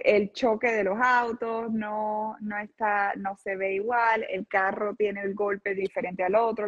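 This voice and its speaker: Earnest breathy woman lawyer on a call. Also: medium pitch. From lived in Venezuela, not born there. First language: Spanish. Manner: earnest, explanatory, many restarts. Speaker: personal injury lawyer, guest. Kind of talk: explaining legal cases in an interview. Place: office with a city window behind. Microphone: video call. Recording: dull lo-fi video call audio.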